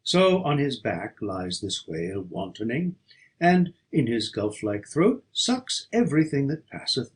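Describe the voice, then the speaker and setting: Male audiobook reader for classic verse: mid-pitched, very animated intonation, unhurried, declamatory delivery with deliberate pauses. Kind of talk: dramatic poetry recitation.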